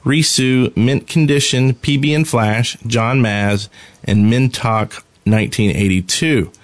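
A man's voice: mid-range voice, takes it slowly, dry recording. A man co-hosting a podcast in casual, conversational chat. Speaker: podcast co-host.

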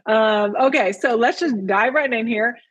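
A woman's voice: a little high, normal speed, husky, no room sound. A woman hosting a podcast, chatting with enthusiasm and leaning on fillers as she sets up her questions. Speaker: podcast host. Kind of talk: casual interview. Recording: slightly muffled lo-fi sound.

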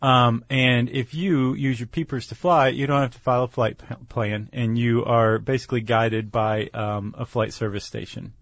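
A man's voice: medium pitch, fairly even intonation, normal speed, hardly any pauses, dry recording, studio quality. A man with an American accent chatting casually as a podcast co-host, explaining things with wry, joking asides.

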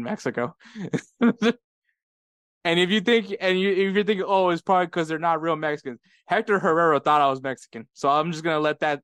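American man, podcast co-host, animated storyteller, clear voice, dry room. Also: fairly high pitch, no room sound. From United States. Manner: laughing often, animated storytelling. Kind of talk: casual chat.

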